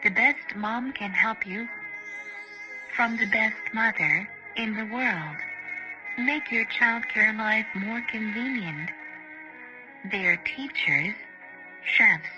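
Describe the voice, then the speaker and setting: A woman delivering scripted infomercial narration in a measured, formal sales manner. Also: medium pitch, poor audio. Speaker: advertisement presenter. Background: music.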